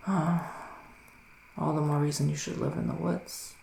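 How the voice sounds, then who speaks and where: A man playing a gentle psychic witch in an ASMR roleplay, speaking in a soft, musing whisper with long pauses. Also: a little high, flat delivery, creaky, echoey room, a little hiss or hum, low-quality recording. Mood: hushed, musing. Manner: slow, hushed, musing, with drawn-out pauses. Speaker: ASMR performer giving a psychic reading.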